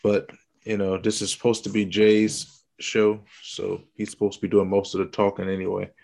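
Brief: low gravelly man, raspy and under the weather, unhurried bible-study host on a call. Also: completely flat delivery, a little mellow. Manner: slow, teasing, explanatory. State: raspy from illness. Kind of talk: casual Bible study chat over video call. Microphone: video call.

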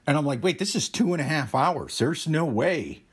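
A man's voice: fairly high pitch, lively intonation, normal speed. A man co-hosting a podcast with a bad cold, losing his voice, joking crudely and swearing. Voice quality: husky. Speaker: podcast co-host. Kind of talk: casual podcast chat.

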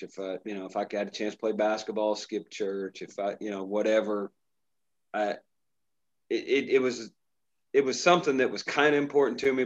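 Middle-aged male pastor, laid-back storyteller with lots of restarts, lower voice, muffled recording. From Indiana, USA. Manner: rambling storyteller, frequent restarts. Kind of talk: casual interview conversation.

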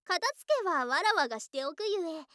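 A woman's voice: very high-pitched, animated, a little fast, sharp tone, dry recording, clean studio sound, heavily acted. A woman playing a doting, possessive lamia yokai wife in an ASMR voice drama, with haughty but affectionate archaic speech. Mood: cheerful, devoted. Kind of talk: ASMR situation voice drama acting.

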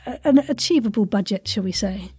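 A woman's voice: medium pitch, lively intonation, fairly quick, warm tone, slightly rough, dry recording. A woman hosting an informal interview, chatty and encouraging towards her guest. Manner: effusive, encouraging listener with brief interjections. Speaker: podcast host, interviewer.

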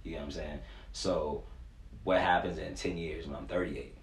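A man's voice: very deep voice, steady tone, moderate pace, gravelly. A man in his twenties speaking casually and earnestly as a coach being interviewed, in informal, slangy language with plenty of "you know". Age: 20s. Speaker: youth sports performance coach, interview guest. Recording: echoey room, faint background noise.

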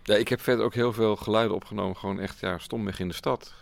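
Very low, creaky-voiced man. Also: fairly even intonation, fast talker, no room sound, faint music behind. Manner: hesitant, informal, imitates sounds. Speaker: radio drama sound designer. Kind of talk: casual interview answers. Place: studio.

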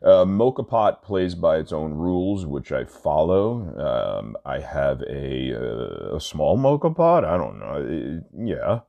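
Man, extremely deep voice, stuffy nose, rambling casual podcast host, lots of fillers. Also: slow, vocal fry, hardly any pauses, lo-fi sound. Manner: rambling, many "uh" fillers and self-corrections; relaxed, smoking while talking. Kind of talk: casual solo podcast talk.

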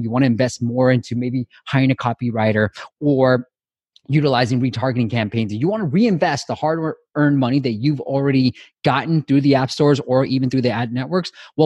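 Chatty husky-voiced male podcast host. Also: medium pitch, a little mellow, no room sound. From Myanmar. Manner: enthusiastic, chatty, uses "like" often. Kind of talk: casual podcast interview.

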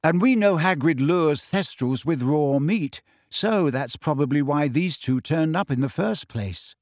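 A man voicing a stubborn, confident teenage girl in an audiobook, speaking in a forceful and defiant way. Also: a little high, lively intonation, a little slow, no room sound, clean studio sound. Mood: cool, confident. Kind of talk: audiobook character voice.

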